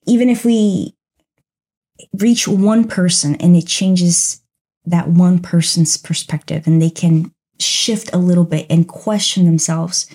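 Husky, warm-voiced woman from Brazil. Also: on the low side, slow pace. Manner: reflective, animated, with frequent fillers like "you know". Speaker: podcast co-host. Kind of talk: casual conversational podcast chat.

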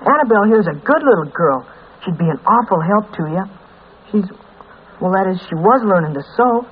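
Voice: medium pitch, animated, brisk, lightly acted. A child-voiced actor playing an earnest, responsible orphan boy pleading anxiously, in old-time radio drama style. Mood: shy pleading. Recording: old scratchy narrow-band radio recording.

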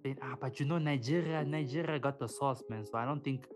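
A man, co-hosting a podcast in casual, slangy, animated chat. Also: medium pitch, brisk. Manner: animated, slangy, often says "man" and "dog". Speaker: podcast co-host. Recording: music playing underneath.

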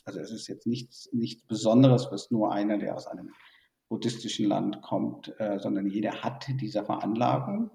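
Thoughtful gravelly man from Burma, roomy. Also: fairly low pitch, expressive, moderate pace, mellow, far from the mic. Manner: thoughtful, hesitant with many fillers. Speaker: interview guest, engineer. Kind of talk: reflective conversational interview. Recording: echoey room, off-mic.

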